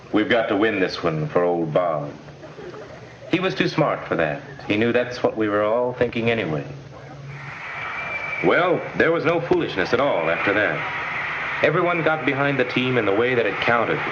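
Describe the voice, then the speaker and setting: An earnest, nostalgic student narrator in a 1950s school film. His voice sits fairly low and his telling is plain and folksy. The soundtrack is dull, with faint noise behind him. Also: a bit bright.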